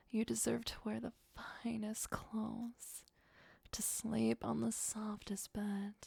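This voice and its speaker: A possessive vampire girlfriend, acted by a woman, murmurs in an intimate ASMR roleplay. Her voice is breathy and soft, mostly whispered, and she takes her time. She sounds playful and doting, with a dark devotion, recorded very close and dry. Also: medium pitch, no echo, exaggerated voice. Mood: adoring, devoted.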